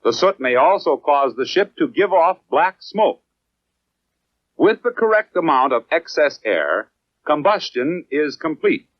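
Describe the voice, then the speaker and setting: An American man reads scripted 1950s educational film narration in a measured, clearly enunciated, instructional manner. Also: a little high, very animated, dry recording. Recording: dry, dull lo-fi 1950s film soundtrack.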